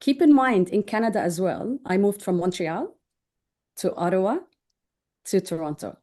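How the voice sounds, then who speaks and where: A woman's voice: medium pitch, animated, normal speed, warm tone. A woman in her thirties from Jordan, answering interview questions in an upbeat, informal, chatty way. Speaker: interview guest, online business owner.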